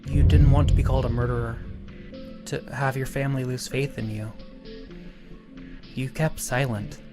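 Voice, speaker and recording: A man voicing a grave cat who speaks in a pointed, accusing way in an animated fan drama. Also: medium pitch, moderate pace, close to an everyday voice. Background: music.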